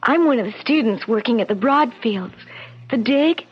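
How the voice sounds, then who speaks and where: An actress playing a frightened young woman student who speaks in a nervous, halting way, in a 1970s radio drama. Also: a little high, animated, natural voice. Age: young adult. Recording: muffled old radio broadcast.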